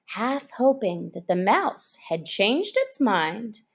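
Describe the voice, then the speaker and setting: A woman reading a children's story aloud as an expressive narrator. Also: medium pitch, slow pace, a little hiss or hum.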